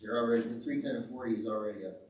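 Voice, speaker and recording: A man's voice: mid-range voice, very fast, darkish tone, slight background noise. A man on a township zoning commission, speaking bluntly and agreeing often during a public meeting discussion. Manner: blunt, opinionated, agrees often with short "yeah". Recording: echoey meeting room from a distant mic.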